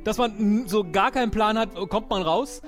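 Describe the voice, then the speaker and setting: A man role-playing casually as a guest player, playful and halting as he thinks aloud. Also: high-pitched, a bit bright, soft background music. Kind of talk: casual improvised role-play.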